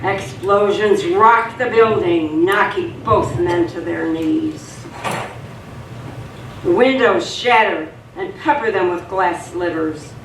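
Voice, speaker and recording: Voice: medium pitch, slow pace. In an echoey room with faint noise, a narrator reads a radio play. The delivery is scripted dramatic storytelling, picked up at a distance from the mic.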